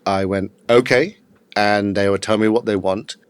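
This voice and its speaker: Low-voiced Englishman in his fifties. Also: normal speed, smooth, no room sound. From England. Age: 50s. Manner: steady, matter-of-fact storytelling with occasional uhs. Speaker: guest, executive coach. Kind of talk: podcast interview.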